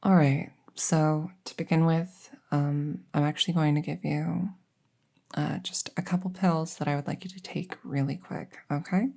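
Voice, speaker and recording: Low, creaky, unhurried woman playing a reassuring vet. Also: fairly even intonation, warm tone. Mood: gentle, clinical. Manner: hesitant, explanatory, reassuring. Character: kindly, rambling female vet for supernatural patients. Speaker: voice roleplay performer. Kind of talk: ASMR audio roleplay.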